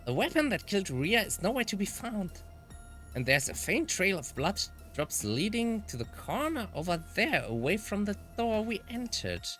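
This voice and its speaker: A man's voice: sits high, big pitch swings, normal speed, fairly bright tone, faint music behind. A man who is a native German speaker voicing a level-headed, insistent young woman in a dramatic visual novel read-aloud. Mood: tense, reasoning.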